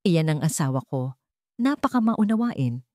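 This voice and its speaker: A woman's voice: deep voice, sing-song, moderate pace, a little mellow, dry recording, studio quality. Woman reading as a condescending, controlling husband. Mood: self-satisfied. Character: smug, controlling, greedy husband in a melodrama.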